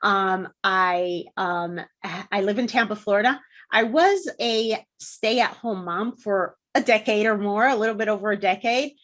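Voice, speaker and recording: A woman's voice: mid-range voice, a little slow, fairly bright tone. A woman in her forties from Puerto Rico, a guest explaining her work in a friendly way with frequent ums. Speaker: guest, content marketing consultant. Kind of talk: interview answers.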